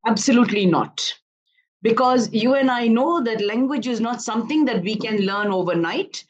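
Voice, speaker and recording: A woman in her fifties from southern India speaks as an activist guest on a news panel. Her voice sits a little high, and she argues in an earnest, measured way. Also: highs cut off. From Karnataka, India. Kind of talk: live news panel interview.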